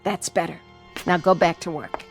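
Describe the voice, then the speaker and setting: An actress in a radio drama playing a feisty, loyal newspaper assistant with a brisk, spirited manner. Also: female voice, on the low side, steady tone, faint music behind, natural voice. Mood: playful, brisk.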